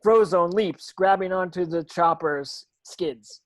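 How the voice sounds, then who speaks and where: A man's voice: high-pitched, takes it slowly. A man narrating stage directions from a script at a table read, sometimes tripping over words.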